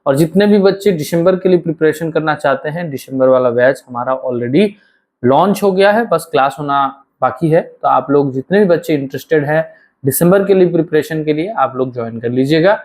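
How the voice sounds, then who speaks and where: Guy teaching online, throat scratchy and sore, kinda high voice, slow and patient, repeats stuff. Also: a little mellow. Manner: explains patiently, repeats key phrases slowly, addresses students directly. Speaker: online exam coaching teacher. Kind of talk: online lecture with course promotion.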